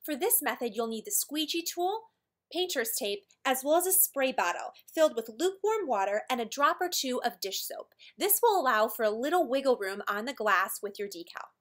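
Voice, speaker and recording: A woman presenting a scripted how-to tutorial, explaining each step in a clear, orderly way. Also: high-pitched, sing-song, brisk. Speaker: tutorial presenter for a decor company. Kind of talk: scripted instructional narration.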